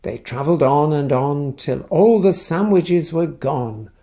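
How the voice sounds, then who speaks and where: Guy reading a kids picture book out loud, slow and playful, bit of gravel in his voice. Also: a little high. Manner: expressive, playful delivery for young children. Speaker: children's storybook reader. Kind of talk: animated read-aloud storytelling with asides.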